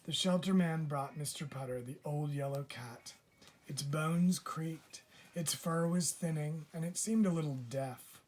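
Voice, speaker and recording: A man reading a children's picture book aloud as a teacher would, with measured, deliberate phrasing. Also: a little high, darkish tone, slight background noise. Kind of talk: children's storybook read-aloud.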